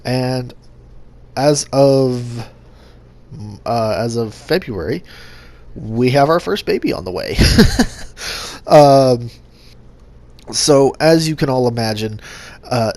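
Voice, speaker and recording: A young man hosting a gaming channel, talking casually to his subscribers in a monotone with lots of fillers and restarts. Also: medium pitch, takes it slowly, pauses often. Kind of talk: casual vlog update to subscribers.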